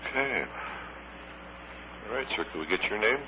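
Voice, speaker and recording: A man's voice: lowish voice, expressive, normal speed. A man working as an interviewer, taking a report by telephone with short, businesslike questions and brief acknowledgements. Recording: distant, dull lo-fi phone recording, faint hum.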